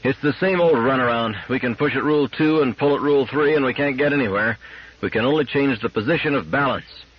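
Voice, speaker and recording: A man voicing an exasperated, urgent male space engineer in an audiobook, with a husky, emphatic delivery. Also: mid-range voice, a little fast, muffled recording, voice pushed up, close to an everyday voice. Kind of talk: audiobook character dialogue.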